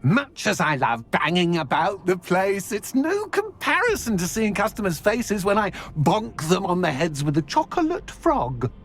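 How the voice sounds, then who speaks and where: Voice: medium pitch, big pitch swings, a little slow, few pauses, faint music behind. An actor voicing a mischievous, theatrical poltergeist in a video game, with a pompous, drawling delivery. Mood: gleeful, scheming. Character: mischievous, theatrical poltergeist fond of causing chaos. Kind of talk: video game character acting.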